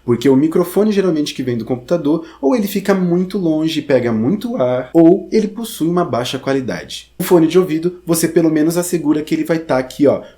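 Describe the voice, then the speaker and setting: Male tutorial presenter, Brazilian accent, soft-edged mellow timbre, casual and conversational step-by-step delivery. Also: fairly high pitch. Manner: conversational, addresses listeners directly with frequent check-ins.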